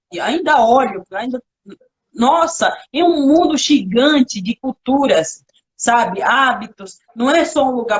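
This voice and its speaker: A young woman from the Xingu, a native Kalapalo speaker, talking in Portuguese as a guest on a live video call, thoughtful and halting with restarts. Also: sits high, poor audio. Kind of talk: casual live interview.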